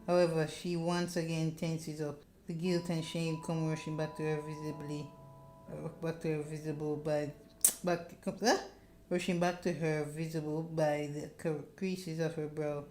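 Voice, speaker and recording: A woman's voice: low-pitched, level tone, moderate pace, mellow, slight background noise. A woman streamer reading a visual novel aloud, with dramatic narration broken by casual asides.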